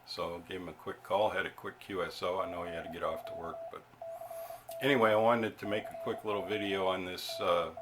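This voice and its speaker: Lowish voiced guy doing a casual hobby show and tell, kinda halting, noisy homemade audio. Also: steady tone. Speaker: amateur radio hobbyist.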